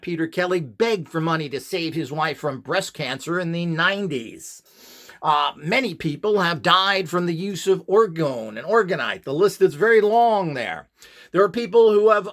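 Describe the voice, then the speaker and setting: A man's voice: high-pitched, a bit bright. A middle-aged or older man giving an unscripted, opinionated talk as a presenter, blunt, scornful and emphatic in delivery. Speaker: presenter of instructional videos.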